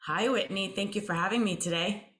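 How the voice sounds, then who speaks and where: A woman's voice: medium pitch, fairly quick. A middle-aged woman from Belgium, an artist guest answering interview questions thoughtfully with many fillers and restarts.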